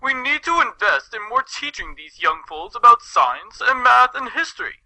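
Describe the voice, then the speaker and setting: Man playing a sharp, high-pitched pompous stallion nobleman. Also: sing-song, moderate pace, full character voice, low-quality recording. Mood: argumentative, lecturing. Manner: pompous, lecturing. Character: haughty, argumentative older male pony nobleman. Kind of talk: cartoon fan fiction audio reading.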